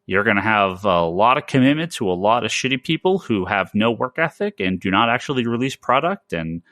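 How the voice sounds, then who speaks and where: Male podcast host, mid-pitched and very animated, emphatic and opinionated in casual chat, dry close-mic sound. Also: no echo. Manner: emphatic, opinionated, repeats and restarts phrases. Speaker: podcast host, comic store owner.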